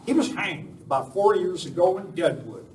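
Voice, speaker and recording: Righteous masked cowboy hero played by a man, fairly high voice, declamatory, live Western radio play, recorded from a distance. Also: very animated, normal speed, a little mellow. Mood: stern, accusing. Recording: echoey room, distant mic, faint noise.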